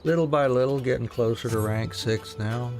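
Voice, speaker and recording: A man's voice: medium pitch, normal speed, music in the background. A man talking casually as he streams a video game. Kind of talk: casual chat and reading game dialogue aloud.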